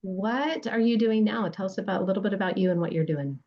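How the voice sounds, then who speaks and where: A woman's voice: mid-range voice, quick pace. A woman hosting a podcast interview, speaking with effusive praise for her guest. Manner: warm, effusive praise, faith-centered. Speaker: podcast host. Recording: dull lo-fi sound.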